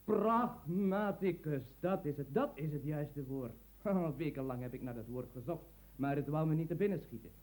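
A man acting a fussy, pompous gentleman in an old radio play, wordy and excitable, proud of his notebook. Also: on the high side, normal speed, lightly acted, low-quality recording. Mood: chattering.

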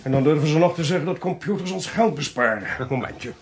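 A man acting in a radio drama, playing a sardonic, authoritative investigator with a dry, commanding, probing manner and a creaky voice. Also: a little high, animated, a little fast, natural voice. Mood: brisk, brooding. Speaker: actor. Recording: faint hiss on radio play recording.

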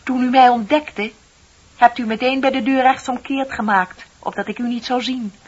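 An actress playing a bitter, lonely middle-aged office worker in a radio play, confiding and sardonic with dramatic emphasis. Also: female voice, a little high, steady tone, normal speed, breathy edge. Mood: accusing, insistent. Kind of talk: Dutch radio play acting. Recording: dull, hissy old radio recording.

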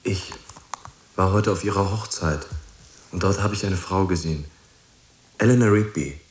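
A male actor plays a young man in a radio drama, earnest and a little shy. His voice sits fairly low and he speaks hesitantly, yet keeps gently pressing. The space sounds echoey. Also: normal speed, slight background noise, natural voice. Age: young adult. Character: earnest, persistent young man, shy but insistent visitor.

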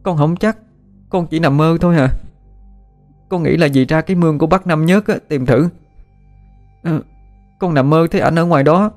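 A man voicing a sick, frightened young girl in a Southern Vietnamese accent, halting and pleading in an audio story. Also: a little high, darkish tone, faint music behind, pitched above the performer's own voice, close to an everyday voice. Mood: pained, pleading. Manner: halting, pleading, repeats words. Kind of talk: dramatised audio story narration.

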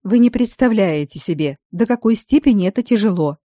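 A woman's voice: medium pitch, animated, fairly quick, dark tone, no echo, studio quality, voice pushed up, lightly acted. A woman voicing a frustrated young female student in an audiobook, speaking in an exasperated way.

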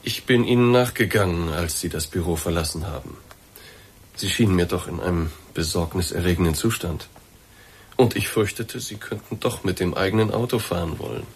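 An actor in a German radio play voicing a formal, persistent male boss, polite, measured and insistent. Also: sits low, breathy edge. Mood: polite, explanatory.

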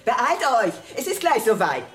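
An excitable female party guest, high-pitched and very lively. She bustles in a roomy space with faint music behind. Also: unhurried. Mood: excited. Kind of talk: radio drama acting.

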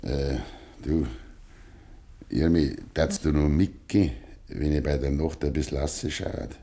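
An actor playing a stubborn, grieving old farmer with a Bavarian accent and quiet tenderness in a 1950s dialect radio play. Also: male voice, rumbling low, steady tone, a little slow. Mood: quiet, confiding. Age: older. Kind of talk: 1950s German dialect radio drama acting.

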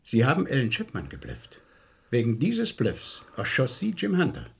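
Dogged inspector, gravelly creak, very husky, accusing, matter-of-fact, vintage radio crime play. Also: male voice, medium pitch, huge pitch swings, moderate pace, heavily acted. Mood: stern, accusing. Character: stern, dogged male police inspector. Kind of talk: 1970s German radio crime drama acting.

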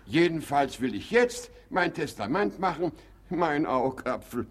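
An actor playing a doting, gullible, middle-aged rich husband in a theatrical, sentimental German radio comedy. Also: male voice, a little high, sing-song, measured pace. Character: doting, gullible, ailing wealthy husband making his will. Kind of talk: German radio comedy acting of a classic play.

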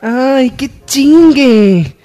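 A woman playing an expressive, meddling young woman in a parody radio soap. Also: high-pitched, very slow, faint music behind, heavily acted. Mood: animated.

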